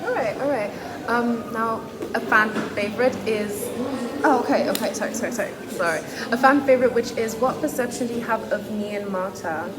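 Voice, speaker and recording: A woman's voice: fairly high pitch, a little fast. A young woman co-hosting a podcast, asking questions and chatting casually. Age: young adult. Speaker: podcast co-host. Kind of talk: casual chat. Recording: loud ambient background noise.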